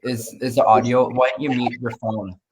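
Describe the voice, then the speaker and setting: A man from Canada talking casually and haltingly as an interview guest, through a laptop on a video call. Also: mid-range voice, little pitch movement, slight background noise, low-quality recording. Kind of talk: casual self-introduction and chat.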